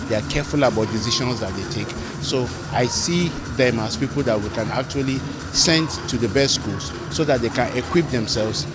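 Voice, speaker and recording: A man's voice: medium pitch, moderate pace, hardly any pauses, heavy background noise. A man with a Nigerian accent giving earnest interview remarks, repeating phrases for emphasis. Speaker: event guest interviewee. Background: loud music.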